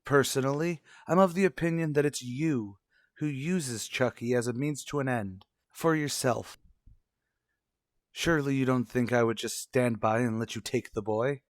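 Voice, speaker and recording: An actor playing a cold, accusing husband in a radio soap, speaking formally and condescendingly with pointed questions. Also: male voice, medium pitch, moderate pace, dry recording, clean studio sound, close to an everyday voice. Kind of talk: revived daytime radio soap acting.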